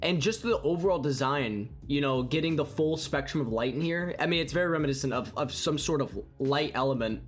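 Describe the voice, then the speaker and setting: A man's voice: fairly high pitch, fairly quick, few pauses. A young man with a congested, sniffly voice, giving enthusiastic, rambling commentary on a game trailer. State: congested with a cold. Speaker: gaming YouTuber. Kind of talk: excited gameplay commentary. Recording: faint music behind.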